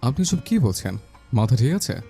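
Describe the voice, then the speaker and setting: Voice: mid-range voice, big pitch swings, brisk, warm tone, slightly rough, soft background music, full character voice. A performer playing a polite, reserved young man, an elder brother, in an audio story drama. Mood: annoyed.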